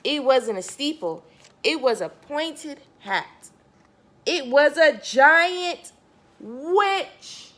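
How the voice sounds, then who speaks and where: A woman's voice: high voice, animated, extremely slow, fairly bright tone. A woman reading a picture book aloud to young children, expressively, stressing key words and speaking directly to her listeners.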